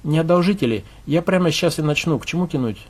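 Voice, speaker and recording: A man voicing a courteous, calm older husband who speaks with quiet resignation. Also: a little high, quick pace, husky. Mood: resigned.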